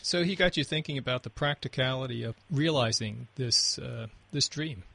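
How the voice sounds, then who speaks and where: A man's voice: mid-range voice, moderate pace, gravelly, pushed and bright, husky. A man asking interview questions, often stumbling and repeating words as he starts.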